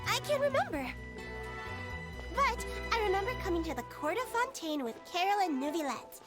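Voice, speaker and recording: A woman's voice: very high-pitched, sing-song, moderate pace, music in the background. A woman voicing a small, forgetful girl of a non-human race in a game, speaking cheerfully and innocently like a child. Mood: sweet.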